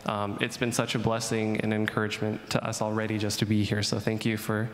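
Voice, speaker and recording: A young man from Indonesia giving a friendly, relaxed testimony talk on a chapel stage into a handheld mic. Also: lowish voice, fairly quick. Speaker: chapel speaker. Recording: echoey hall with long reverb.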